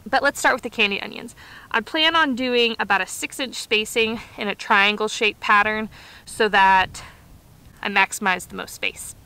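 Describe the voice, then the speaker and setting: A woman's voice: mid-range voice, normal speed, pushed and bright. A woman in her thirties talking casually and explaining as she works, hosting a gardening vlog outdoors. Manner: explanatory, conversational. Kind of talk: casual instructional vlog talk while working. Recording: clear outdoor background noise.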